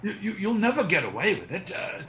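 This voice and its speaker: A man's voice: high-pitched, wildly swooping pitch, a little fast, a bit bright, a little hiss or hum, full character voice. A man in his sixties playing a sneering, cowardly kidnapper in a radio mystery play. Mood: panicked, pleading. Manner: stammering when scared, drawling taunts. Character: cowardly sneering kidnapper, oily bully turned sniveling.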